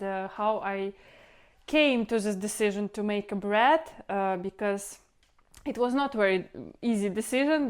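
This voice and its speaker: Clear-voiced woman with a Ukrainian accent. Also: medium pitch. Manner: rambling, repeats words, non-native phrasing. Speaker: home cooking channel host. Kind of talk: casual instructional vlog talk.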